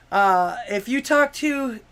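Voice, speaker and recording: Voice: mid-range voice, expressive, very slow, a bit bright, clear, resonant tone. A talk-show host from Miami, Florida, giving political commentary between news excerpts and listener comments, with sarcastic rhetorical questions and frequent restarts. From Miami, Florida, USA. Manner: stumbling restarts and many fillers. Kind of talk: political commentary, reading news and chat messages aloud.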